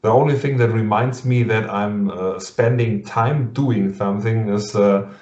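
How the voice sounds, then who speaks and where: A man with a German accent interviewing a guest over a video call in English, halting and full of fillers and restarts, laughing often. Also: lowish voice, a little mellow. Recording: muffled lo-fi sound in an echoey room.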